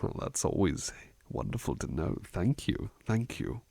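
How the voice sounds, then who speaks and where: A man playing a gentle, devoted butler in an intimate ASMR roleplay, soft-spoken, courteous and reassuring, with hesitant phrasing. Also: fairly low pitch, expressive, measured pace, creaky, breathy edge, no room sound, heavily acted. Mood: warm, reflective. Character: gentle, devoted, polite butler attending to a master.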